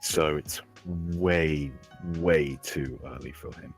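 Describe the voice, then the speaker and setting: A sixteen-year-old male with a deep, husky voice, chatting casually as a podcast host. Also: steady tone, slow pace, soft background music. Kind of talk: casual group chat.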